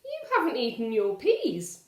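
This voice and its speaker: Exasperated storybook dad, read by a woman: firm, scolding, very animated delivery, roomy sound. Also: fairly high pitch, a little slow, voice pushed up. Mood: stern. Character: exasperated storybook Papa, firm adult father.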